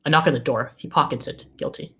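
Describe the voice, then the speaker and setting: A man's voice: mid-range voice, fast, sharp tone, husky. A man reading scripted screenplay directions as the narrator of a table read.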